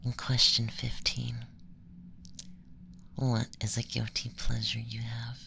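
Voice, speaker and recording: A man playing a curious alien femboy in an ASMR roleplay, speaking softly and deliberately in a gentle, breathy near-whisper. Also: medium pitch, completely flat delivery, slight background noise, heavily acted. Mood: curious, calm. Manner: deliberate, gentle, questionnaire-like delivery with pauses. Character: soft-spoken curious alien femboy conducting a formal assessment. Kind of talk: ASMR roleplay, close soft speech and whispering.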